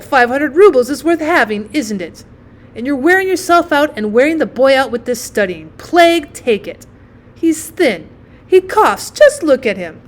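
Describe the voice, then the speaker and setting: Woman acting a loud indignant aunt, high-pitched. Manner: loud, emphatic, accusing. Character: indignant, blunt, meddling aunt.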